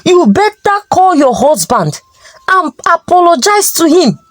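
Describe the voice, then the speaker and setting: Slow, pleading Nigerian father, voiced by a woman. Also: very high voice, big pitch swings, no echo, heavily acted. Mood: stern. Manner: solemn, imploring. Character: earnest, pleading village father advising his daughter.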